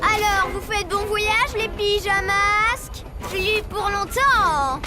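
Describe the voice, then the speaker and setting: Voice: extremely high pitch, slow. A performer voicing a boastful young boy villain, a child mad scientist, in a French children's cartoon, with a theatrical, gloating manner. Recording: loud music behind the voice.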